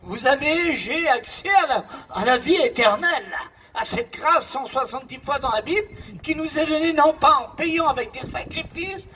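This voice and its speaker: A man's voice: very high-pitched, lively intonation, moderate pace, pushed and bright, rough recording. A man from the Paris region preaching a sermon as pastor, emphatic and repetitive, telling a story to a responding congregation. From Paris region, France.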